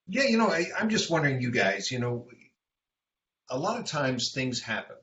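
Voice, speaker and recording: A man's voice: mid-range voice, very animated, normal speed, bright, forceful tone. A man who works as a stadium announcer, chatting casually as a guest at a roundtable. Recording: echoey room, dull sound, background noise.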